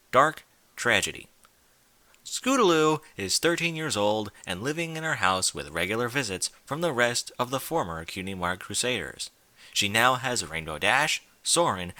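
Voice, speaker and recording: A man's voice: lowish voice, bright, forceful tone. A man reading fan fiction aloud in an informal, blunt way, with incredulous asides in casual speech. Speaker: fan fiction reader and commentator.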